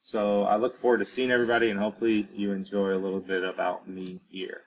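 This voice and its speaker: A man's voice: on the low side, flat delivery, fast talker, highs cut off, a little hiss or hum. A man in his thirties, a teacher, giving a casual recorded introduction to his class, with many ums and uhs. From grew up on US military bases in Germany.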